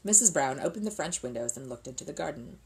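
A middle-aged woman reading a children's book aloud as expressive scripted narration, seated indoors at a table. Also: deep voice, fast, low-quality recording.

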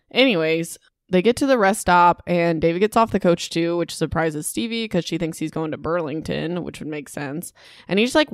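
Low-voiced woman podcaster, under the weather. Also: dry recording, clean studio sound. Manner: informal, sarcastic, mildly exasperated. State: sick with a cold-like illness. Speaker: podcast host. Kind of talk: casual solo book recap.

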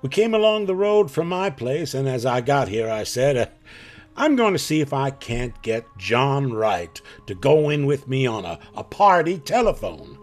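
A man playing a plain-spoken rural farmer in a live audio drama, telling his story in a folksy, halting way. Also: mid-range voice, big pitch swings, faint music behind, close to an everyday voice. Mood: rambling, earnest.

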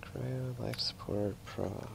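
Man, casual gameplay commentary, slow pace, creaky voice, mumbled asides to himself, noisy background. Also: medium pitch, fairly even intonation. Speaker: gamer commentating on a video game playthrough.

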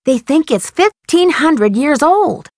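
A young American girl detective, friendly and composed, with a fairly high voice. She explains things earnestly and with confidence in a dry, close studio recording, as in a mystery game. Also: expressive, takes it slowly, darkish tone, dry recording, heavily acted. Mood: informative, interested. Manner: clear-spoken, earnest, self-assured. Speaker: voice actor. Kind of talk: video game phone dialogue.

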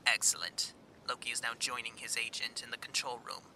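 A man's voice: mid-range voice, level tone, fairly quick, close to an everyday voice. A man playing a scheming, gloating supervillain with a commanding, theatrical delivery in a superhero audio roleplay. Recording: noisy sound-effect ambience behind.

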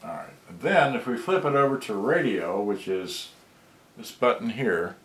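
A man's voice: fairly low pitch, very animated, a little slow. A man giving a casual, rambling demonstration at a workbench, muttering to himself as he goes. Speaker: hobbyist repairer showing a vintage boombox. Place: cluttered indoor workbench. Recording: roomy, noisy home camera recording.

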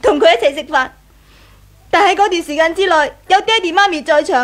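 An actress playing a wronged, resolute young wife in a 1980s radio drama, with a Hong Kong Cantonese accent. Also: female voice, extremely high pitch, a little fast, a bit bright. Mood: cold, hurt.

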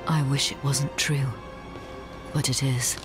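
A slow, mid-pitched voice of a man acting a sombre wandering hero in a fantasy game. He is restrained and determined, with music underneath. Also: flat delivery, lightly acted. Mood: troubled. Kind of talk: dramatic video game acting.

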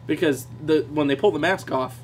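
A man co-hosting a podcast in casual chat. He is excitable and laughs often. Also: fairly high pitch, moderate pace, a little hiss or hum. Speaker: podcast co-host.

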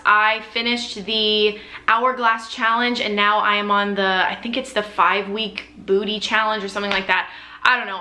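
Bright-voiced young American woman vlogging. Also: medium pitch. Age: young adult. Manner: chatty, enthusiastic, emphatic. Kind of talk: casual chat to camera, sharing recommendations. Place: living room at home. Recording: roomy indoor echo.

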